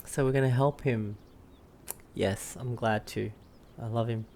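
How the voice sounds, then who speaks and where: A man's voice: mid-range voice, steady tone, unhurried, darkish tone, slight background noise. A young man giving casual let's play commentary, informal and thinking aloud as he reacts. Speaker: gamer playing and reacting to a story quest. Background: game music.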